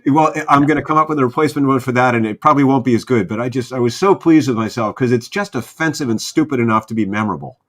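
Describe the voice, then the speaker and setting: A man's voice: mid-range voice, rapid-fire, hardly any pauses, fairly bright tone. A man talking casually as a podcast guest, with a wry, joking manner. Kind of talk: casual group chat.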